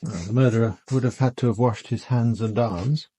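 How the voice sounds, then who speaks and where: An actor playing a down-to-earth detective colleague in a radio crime drama, with a dry, matter-of-fact manner. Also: male voice, mid-range voice, normal speed, warm tone, slightly rough, no echo, lightly acted. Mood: wry. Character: wry, down-to-earth male police detective colleague.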